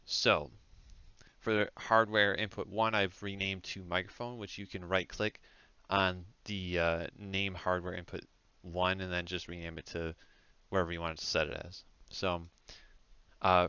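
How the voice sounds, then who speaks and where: Low-pitched man giving a casual tutorial. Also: steady tone, measured pace, pushed and bright, clean tone, no echo. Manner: informal, hesitant step-by-step explaining. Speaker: tutorial presenter. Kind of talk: casual software tutorial narration. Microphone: close headset microphone. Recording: dull, lo-fi headset audio.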